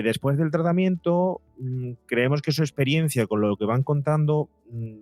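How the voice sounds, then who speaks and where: Man, mid-pitched voice, podcast guest, long reflective explanations, very dry recording, faint music behind. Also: dry recording. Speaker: psychologist and podcaster, interview guest. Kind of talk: explanatory interview talk. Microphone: close podcast mic, seated at a table.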